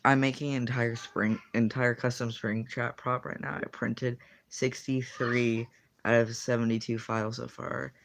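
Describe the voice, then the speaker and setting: A man's voice: mid-range voice, flat delivery, measured pace, vocal fry, a bit bright. A teenage boy in casual livestream chat, answering viewers and rambling with lots of fillers and self-corrections. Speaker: streamer.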